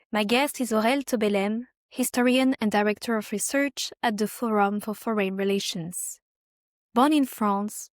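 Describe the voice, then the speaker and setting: A woman's voice: a little high, dry recording, clean studio sound. A woman hosting a podcast interview, speaking formally and politely with carefully phrased introductions and questions. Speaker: podcast host.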